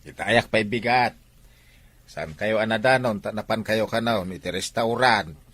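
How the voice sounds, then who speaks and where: An actor playing an impatient, exclamatory adult son in a radio family melodrama. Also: male voice, lowish voice, unhurried, a bit bright, no room sound. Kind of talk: radio drama acting. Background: dramatic music.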